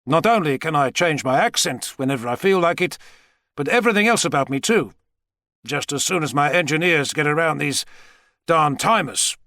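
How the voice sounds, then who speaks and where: A man's voice: on the high side, animated, normal speed, sharp tone, dry recording, clean studio sound, voice pushed up. A man voicing a brash, megalomaniac president with a boastful, folksy manner in an audiobook thriller. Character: American president, brash, heavy-set, megalomaniac schemer.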